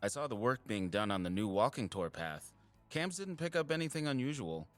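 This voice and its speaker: An actor playing an earnest, caring male wildlife surveyor in an audio drama, casual, sincere and expressive. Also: medium pitch, brisk, faint music behind, lightly acted. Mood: concerned, curious. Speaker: habitat surveyor.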